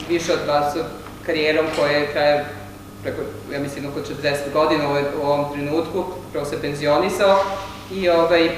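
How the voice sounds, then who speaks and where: Halting man introducing speaker, echoey hall. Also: on the high side. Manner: halting, many fillers and restarts. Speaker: seminar organizer introducing the speaker. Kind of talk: formal introduction. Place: large lecture hall. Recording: echoey hall from far off with noise.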